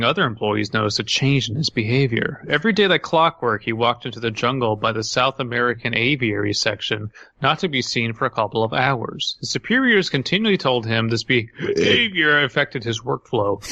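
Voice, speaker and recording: A man with a bright, crisp-edged voice at a middle pitch co-hosts a comedy podcast. He moves between reading aloud and mock-indignant, profane asides. The sound is very dry, with no room around it. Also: lively intonation, fairly quick, no echo, dull audio. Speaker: podcast host. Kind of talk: casual banter and comedic story reading.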